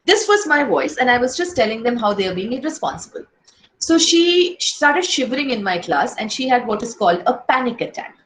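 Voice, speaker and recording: A woman educator with a loud voice, giving an earnest lecture to fellow teachers and posing rhetorical questions. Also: on the high side, big pitch swings, brisk. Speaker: educator giving a workshop talk. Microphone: online session. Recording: echoey room.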